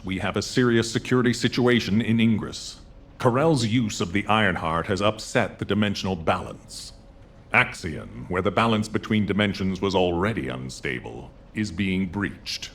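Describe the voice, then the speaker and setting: Grave, low-voiced military commander. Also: male voice, expressive, slight background noise. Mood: grave, composed. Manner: measured, authoritative. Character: grave, composed male military commander giving briefings. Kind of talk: video game voice acting.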